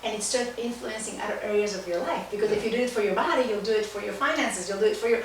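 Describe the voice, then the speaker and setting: A woman's voice: mid-range voice, racing. A woman with an Israeli accent talking enthusiastically and emphatically as a guest in a casual podcast interview. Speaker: podcast guest, life coach. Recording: distant mic, very echoey room, faint noise.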